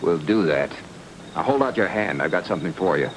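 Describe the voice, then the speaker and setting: Noir radio heavy. Low voice, clipped threats, street slang, hard-boiled menace, clearly noisy vintage sound. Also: male voice, animated, fairly quick. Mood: menacing. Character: tough hired gunman, menacing, terse gangster. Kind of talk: film noir radio drama acting. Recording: noisy old radio drama recording.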